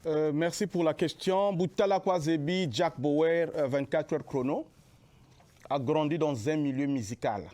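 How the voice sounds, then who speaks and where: Hesitant young man, slightly high voice. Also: dark tone. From Republic of the Congo. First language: Lari. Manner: hesitant, many drawn-out fillers. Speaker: musician guest. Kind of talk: TV interview answers. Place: local TV studio.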